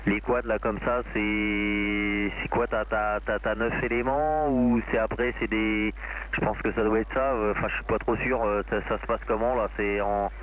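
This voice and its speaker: A man with a fairly low voice chats over amateur radio. He rambles in a loose, hesitant way, often searching for the next word. The transmission is dull, with faint noise underneath. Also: steady tone, normal speed. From France. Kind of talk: casual radio chat. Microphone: amateur radio link.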